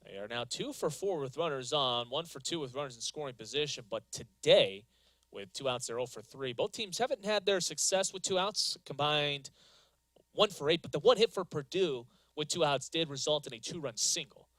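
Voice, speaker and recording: Bright, forceful male play-by-play announcer. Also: a little high, lively intonation, normal speed, dry recording, low-quality recording. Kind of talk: live softball play-by-play commentary. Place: live broadcast from a softball stadium.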